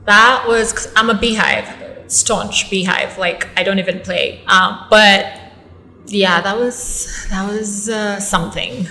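A young Ugandan woman with an accent and a bright, tense voice. She chats as an interview guest, telling stories with lots of fillers and quick self-corrections. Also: medium pitch. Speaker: guest, model and actress. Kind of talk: casual interview chat.

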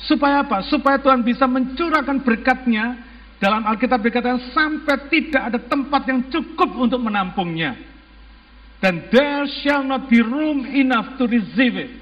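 A man with an Indonesian accent preaching to a congregation as a pastor, exhorting them emphatically with rhetorical questions and some English phrases mixed in. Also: extremely high pitch. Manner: mixes English phrases into speech. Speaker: church pastor. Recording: muffled, long hall reverb.

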